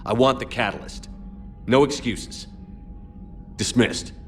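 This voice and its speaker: A man's voice: mid-range voice, slow, a little hiss or hum. A man playing a battle-weary, resolute military commander in a dramatic video game. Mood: frustrated, commanding.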